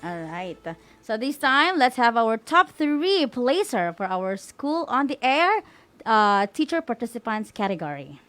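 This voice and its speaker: High, lively Filipino woman announcing winners. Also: measured pace. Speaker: co-host of an online awards ceremony. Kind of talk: announcing award winners, congratulatory.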